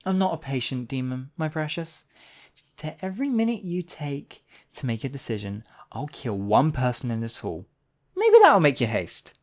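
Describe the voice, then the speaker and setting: A man playing a demon king in an intimate ASMR roleplay, husky and theatrical, with deliberate phrasing and lingering pauses. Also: medium pitch, wildly swooping pitch. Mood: menacing, possessive. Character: demon king, menacing captor act turning tender, devoted lover.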